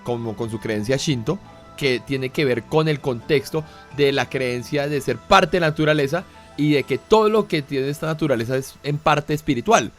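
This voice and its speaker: Male host, mid-pitched, talks at length, faint music. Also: normal speed. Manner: clarifies at length with restarts. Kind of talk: talk show hosting.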